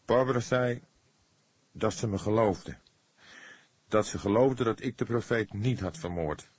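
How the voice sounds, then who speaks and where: A man's voice: lowish voice, a little slow, a little mellow, slightly rough, no room sound, pitched above the performer's own voice. A man voicing a troubled young murder suspect in an audiobook, earnest, emotional and on edge. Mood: troubled, pleading.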